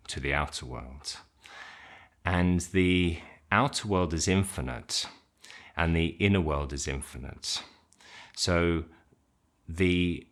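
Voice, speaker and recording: A man leading an unscripted guided meditation, giving simple instructions in an informal, gently hesitant way. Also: rumbling low.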